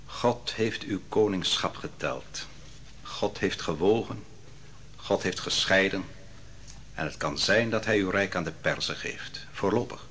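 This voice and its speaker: Stern male prophet, low voice, very slow deliberate delivery, declamatory radio play style. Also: fairly bright tone, a little hiss or hum. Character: solemn male prophet pronouncing doom on a king. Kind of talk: Dutch radio drama acting.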